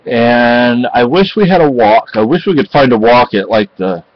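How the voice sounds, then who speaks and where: A middle-aged man from Spain talks casually into a webcam in a cluttered room at home. His voice sits mid-range, and he rambles with pauses and restarts. The audio is dull and lo-fi. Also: medium pitch, animated, no echo. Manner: rambling, halting, many fillers and restarts. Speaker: vlogger. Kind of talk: casual home vlog chat. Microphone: webcam at close range.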